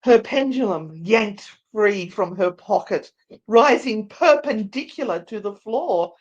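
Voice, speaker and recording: A woman narrating a story as a dramatic live read, deliberate and theatrical. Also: medium pitch, expressive, a little mellow, lo-fi sound. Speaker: narrator and co-host.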